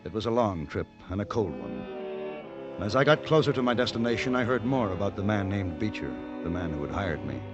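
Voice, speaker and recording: An actor playing a suave, worldly gunfighter with a courtly, theatrical manner in a 1950s radio western. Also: male voice, on the low side, very animated, fairly quick, over music. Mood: reflective. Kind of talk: 1950s radio acting and narration. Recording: dull vintage radio recording.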